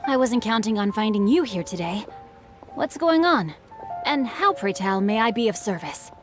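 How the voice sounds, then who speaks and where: A woman plays a courteous teenage-sounding young gentleman from an anime game. He is bookish and well-spoken, choosing formal words at a middling pitch for a female voice, over faint music. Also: expressive, normal speed, natural voice. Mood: courteous, composed. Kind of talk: anime-style video game voice acting.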